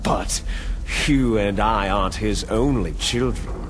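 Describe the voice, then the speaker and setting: An actor playing a bitter, gloating villainous clone soldier in a video game, with a menacing, taunting, theatrical delivery. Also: male voice, on the low side, takes it slowly, slight background noise. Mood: grandiose, lecturing. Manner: menacing, taunting, grandiose monologuing. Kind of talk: dramatic video game cutscene acting.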